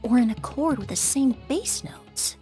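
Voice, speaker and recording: A calm, knowledgeable young perfumer with a slightly high voice and lively intonation. She reasons things through in a precise, polite way, and game music is clearly heard behind her. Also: unhurried.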